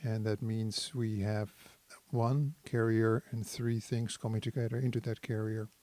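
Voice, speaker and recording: Mellow-voiced man explaining gear casually. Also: fairly low pitch, level tone, unhurried. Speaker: synthesizer demonstrator. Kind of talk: casual hands-on tutorial talk.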